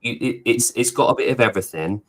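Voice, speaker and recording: A man hosting a podcast, asking questions in a measured way. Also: mid-range voice. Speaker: podcast host. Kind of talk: interview.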